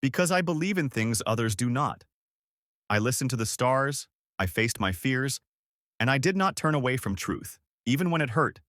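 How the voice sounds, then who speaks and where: A man's voice: medium pitch, expressive, normal speed, fairly bright tone, no echo, clean studio sound, natural voice. A man voicing a brave young village girl with an earnest, resolute storybook delivery.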